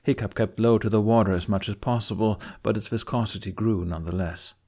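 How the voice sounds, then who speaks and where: Male audiobook narrator, lowish pitch, scripted fantasy storytelling, dramatic reading. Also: steady tone, fairly quick, warm tone.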